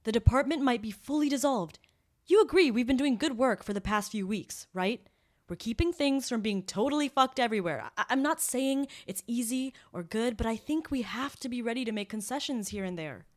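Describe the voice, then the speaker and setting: A woman's voice: on the high side, expressive, a little fast, lightly acted. A woman playing a pragmatic government colleague, frank and conciliatory, in naturalistic drama dialogue. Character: pragmatic, reasoning female government colleague urging compromise.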